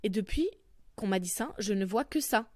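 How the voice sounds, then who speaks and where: A young woman from France with a Parisian accent, hosting a comedy podcast with a self-mocking, animated delivery and crude asides. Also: medium pitch, moderate pace, dry recording, clean studio sound. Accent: Parisian French. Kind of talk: comic monologue podcast.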